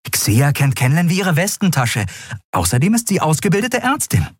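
A man voicing a bold, stubborn adventuring woman in a fantasy audiobook, brisk, persuasive and headstrong. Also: on the high side, very animated, husky, dry recording, clean studio sound, voice pushed up. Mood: earnest, persuasive. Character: bold, stubborn young woman, adventurous thief and ship owner.

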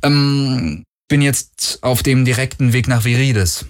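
A man's voice: mid-range voice, takes it slowly, pitched above the performer's own voice, close to an everyday voice. A man voicing a nervous young pilot who rambles with fillers. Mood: rambling. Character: young male pilot, nervous, joking, restless.

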